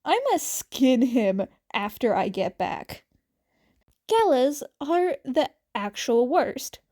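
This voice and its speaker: A woman's voice: high-pitched, sing-song, slow, dark tone. A woman narrating a piece of fan fiction as an amateur reader, in a scripted storytelling style.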